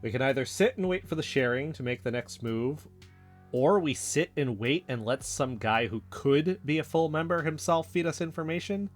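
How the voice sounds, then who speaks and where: A man's voice: a little high, lively intonation, moderate pace, natural voice. Actor as sardonic, suspicious male investigator, guitar underneath. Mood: exasperated. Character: suspicious, sardonic, guarded man, an amateur investigator. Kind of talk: naturalistic audio drama dialogue.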